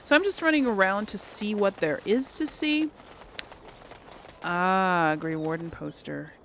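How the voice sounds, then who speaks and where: A woman's voice: medium pitch, a little slow, fairly bright tone, slight background noise. A woman giving casual let's play commentary, musing aloud and rambling to her viewers. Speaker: gaming video host.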